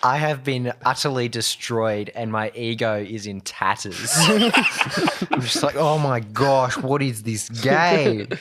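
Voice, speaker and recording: A man's voice: medium pitch, animated, takes it slowly, fairly bright tone, a little hiss or hum. A man playing in an improvised comic roleplay game, speaking in a wordy, mock-formal way. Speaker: player in a tabletop game.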